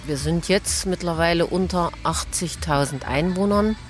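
A woman, a deputy mayor giving measured, earnest answers in a radio interview. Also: on the low side.

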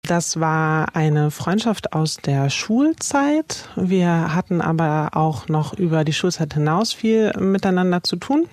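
A woman's voice: low voice, animated, takes it slowly, hardly any pauses, darkish tone, no echo. A woman of about thirty, a journalist, speaking reflectively in an interview.

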